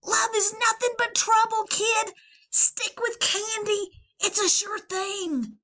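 A woman's voice: very high-pitched, slow, pushed and bright, voice pushed up, heavily acted. A woman voicing a grumpy, candy-loving male valentine card that runs away, bossy and mocking. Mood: scoffing. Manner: bossy, mocking sing-song taunts.

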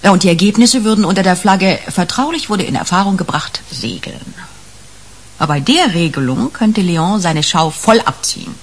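An actress playing a brash, shrewd police detective in a radio crime drama, slangy and emphatic. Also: female voice, fairly low pitch, expressive, moderate pace, breathy edge. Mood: cheeky, scheming.

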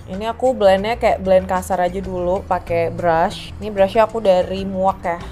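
A woman's voice: medium pitch, a little mellow. Stuffy-nosed young woman chatting a makeup tutorial. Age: young adult. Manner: chatty, casual, self-deprecating asides. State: stuffy nose from a heavy cold. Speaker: beauty vlogger. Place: small indoor room at home, rain outside.

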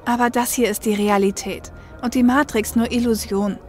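An actress playing a caring, sceptical, down-to-earth young female mercenary in an audio drama, sober and gently chiding. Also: a little high, fairly even intonation, normal speed, soft background music, close to an everyday voice. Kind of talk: German audio drama acting.

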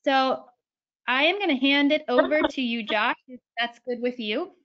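Woman, webinar moderator, higher pitch, friendly scripted intros, slightly muffled line. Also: normal speed. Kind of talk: hosting a webinar.

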